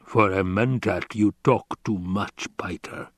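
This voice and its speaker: Rumbling, pompous villain baron. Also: male voice, dry recording, studio quality. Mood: cold, menacing. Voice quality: rumbling basso, growling. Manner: grandiose, savoring drawn-out delivery. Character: fat scheming villainous baron, pompous and menacing.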